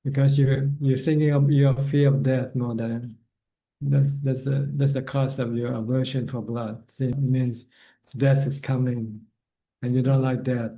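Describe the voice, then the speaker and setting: A man's voice: mid-range voice, little pitch movement, measured pace, mellow, low-quality recording. A man answering questions as a Buddhist teacher on a video call, speaking plainly and often repeating words as he finds his phrasing. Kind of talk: online dharma Q&A.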